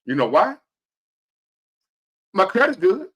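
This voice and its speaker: High-voiced, animated man in his fifties. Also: slow, husky. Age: 50s. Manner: earnest, preachy, repeats key phrases, swings between loud and hushed. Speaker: motivational vlogger. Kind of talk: motivational talk to viewers.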